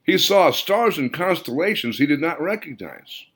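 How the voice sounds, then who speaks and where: A man's voice: fairly high pitch, very animated, normal speed, a bit bright, slightly rough. A man reading his own fiction audiobook in a dramatic, scripted storytelling delivery.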